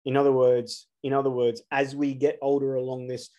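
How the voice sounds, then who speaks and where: A man in his forties talks straight into a close camera from a dark room. He is earnest and emphatic, pressing his points with rhetorical questions in a mid-pitched voice. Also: little pitch movement, brisk. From Australia. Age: 40s. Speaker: astrologer. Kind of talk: solo spiritual talk to camera.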